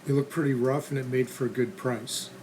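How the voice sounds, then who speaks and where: Casual man narrating over clear background noise. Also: mid-range voice, fairly even intonation, brisk, a little mellow, low-quality recording. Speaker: host of a restoration how-to channel.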